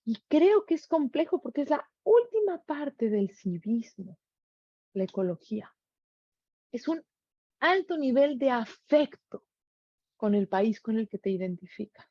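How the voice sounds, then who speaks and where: A woman's voice: fairly high pitch, very animated, measured pace, warm tone, no echo. Woman, Mexican accent, middle-aged, reflective monologue, rhetorical questions, dull lo-fi sound. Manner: earnest, poses many rhetorical questions. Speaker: speaker sharing reflections.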